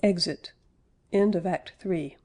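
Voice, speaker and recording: A woman's voice: fairly low pitch, slow pace, a little mellow. A woman narrator reading out stage directions and headings in plain scripted narration.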